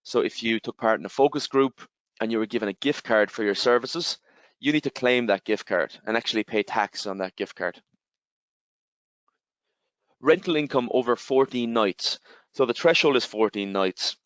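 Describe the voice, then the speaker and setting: A man with an Irish accent, giving a webinar presentation and explaining step by step, with steady fillers and repeated "again". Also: medium pitch, moderate pace, dry recording. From Ireland. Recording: slightly dull, dry webinar audio.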